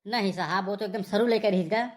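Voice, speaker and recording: A woman's voice: medium pitch, fast, darkish tone, lightly acted. A woman voicing jittery, wisecracking young village men in a Chhattisgarhi comedy cartoon, rustic, whining and argumentative. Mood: nervous denial.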